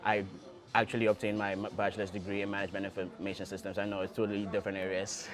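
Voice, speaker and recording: Relaxed young man from Ghana, many ums. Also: lowish voice, very fast, a crowd in the background. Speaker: startup founder guest. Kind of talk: casual interview answers.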